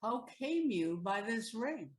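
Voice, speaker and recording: A woman's voice: on the high side, sing-song, slow, echoey room. An actress playing a middle-aged, sentimental mother in an audio play, earnest and motherly. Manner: earnest, motherly, formal speech.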